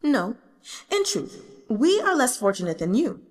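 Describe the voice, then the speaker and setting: A woman's voice: on the high side, sing-song, a little slow, long reverb tail, voice pushed up. A woman voicing a self-mocking, burdened young emperor in an audiobook, formal and solemn, with regal phrasing. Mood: bitter, solemn. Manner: formal, solemn, speaks in the royal we. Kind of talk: audiobook character dialogue.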